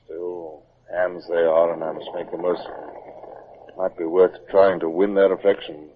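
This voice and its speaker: An actor playing a stern but humane British naval captain in an old-time radio drama, with a commanding, authoritative manner. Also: male voice, sits low, level tone, unhurried, slight background noise. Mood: brooding, reflective. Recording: muffled, narrow old radio recording.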